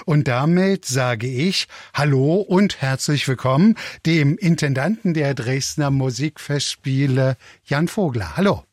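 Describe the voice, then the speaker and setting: A man's voice: medium pitch, a little slow, a little mellow, dry recording. A man hosting an interview, friendly and asking long questions, briefly flustered by technical trouble. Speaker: interviewer host. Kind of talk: live interview moderation.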